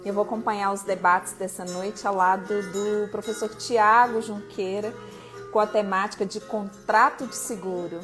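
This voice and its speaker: A woman's voice: mid-range voice, fairly even intonation, moderate pace, soft background music. Chatty woman hosting a live stream. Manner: warm, chatty, laughs often. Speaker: host of a legal talk live stream. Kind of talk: casual live-stream chat.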